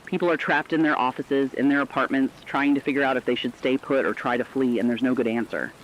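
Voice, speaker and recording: A man's voice: medium pitch, quick pace, lo-fi sound, a little hiss or hum, close to an everyday voice. A man playing a concerned, compassionate radio co-host, giving scripted commentary in an earnest, emotive way. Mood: somber concern.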